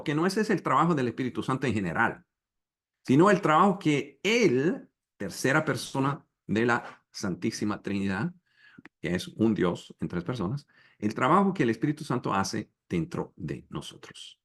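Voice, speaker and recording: A man whose first language is English, leading a Bible study in slow, halting Spanish with pauses and restarts over a video call. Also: mid-range voice. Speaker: preacher.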